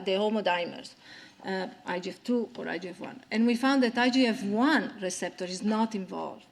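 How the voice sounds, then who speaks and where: Woman lecturer, mid pitch, explains research step by step. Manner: explanatory, with frequent fillers and restarts. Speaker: conference speaker. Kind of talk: scientific lecture and Q&A answers.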